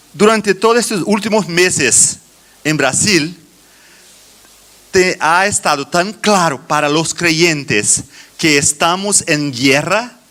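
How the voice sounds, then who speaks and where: A middle-aged man with a Brazilian Portuguese accent giving a church conference address in halting phrases with deliberate pauses. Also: a little high, sharp tone. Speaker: conference speaker, children's ministry teacher.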